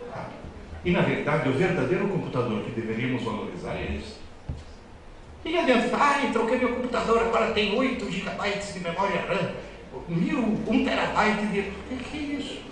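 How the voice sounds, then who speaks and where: A man gives a joking, teasing lecture to an audience of students and parents. His fairly high voice swings widely in pitch as he tells his stories. The sound is very echoey and distant, as if caught from far back in a large hall. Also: slight background noise. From Italy. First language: Italian. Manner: joking, teasing, lively storytelling.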